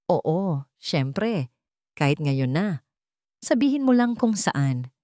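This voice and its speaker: A woman's voice: lowish voice, sing-song, slow, dark tone, dry recording, studio quality. Woman voicing a caring, earnest male lawyer. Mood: reassuring. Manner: concerned, earnest. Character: caring, protective male lawyer, steady and devoted. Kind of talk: audiobook drama dialogue.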